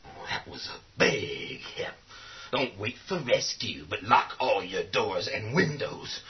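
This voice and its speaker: An actor playing a gruff, protective survivors' leader, firm and blunt, in a horror radio play. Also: male voice, on the low side, very animated, slow pace. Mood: sardonic. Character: commanding, protective, gruff man leading survivors. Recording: dull, muffled audio.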